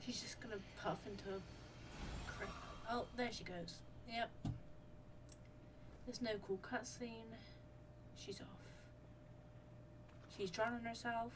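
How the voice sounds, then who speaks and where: A woman's voice: a little high, steady tone, takes it slowly, long pauses, background noise. A woman giving casual, playful, slangy reaction commentary as she plays a story game. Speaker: gaming content creator. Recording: loud game music behind. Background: loud game music.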